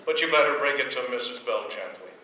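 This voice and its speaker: Echoey, sharp-voiced exasperated husband. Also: male voice, mid-range voice, lively intonation, fast talker. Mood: sardonic. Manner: sarcastic, incredulous. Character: exasperated, sardonic husband dreading his mother-in-law. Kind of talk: old radio domestic comedy acting. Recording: very echoey, distant, faintly noisy radio-play recording.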